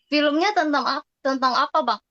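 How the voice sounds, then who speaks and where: A woman's voice: sits high, moderate pace, a bit bright, dull audio. A woman chatting casually and asking questions.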